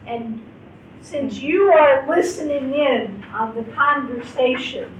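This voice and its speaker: Slow high-voiced woman teacher. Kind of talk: classroom teaching, role-play. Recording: echoey room, distant mic, faint noise.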